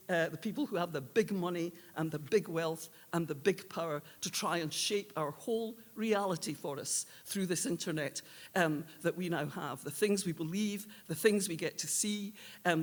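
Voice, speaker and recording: An older woman giving an earnest, halting but emphatic speech as a presenter at an awards ceremony. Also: on the low side. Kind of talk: prepared awards speech, reflective political commentary. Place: large hall at an awards ceremony. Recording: echoey hall with audience noise.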